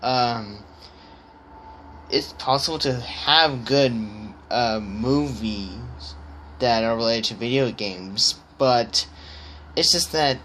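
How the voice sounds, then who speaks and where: A man thinking aloud in a casual opinion vlog, hesitant and halting, often stammering on the starts of words. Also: medium pitch, sharp tone, slight background noise. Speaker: vlogger.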